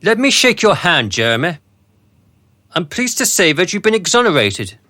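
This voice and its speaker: An officious, smooth-talking boss, acted by a man in a radio play. His voice is high and forceful, full of lively swings, with condescending courtesy. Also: moderate pace, dry recording, full character voice. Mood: businesslike. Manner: mannered, falsely courteous, condescending. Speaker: actor in a radio drama. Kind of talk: radio drama acting.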